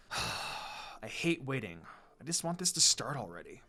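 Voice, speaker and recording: A man's voice: mid-range voice, very animated, a little slow, pushed and bright, heavily acted. A man voicing a hot-tempered teenage ninja girl in an emphatic, exasperated way for a fan fiction reading. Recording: music behind the voice.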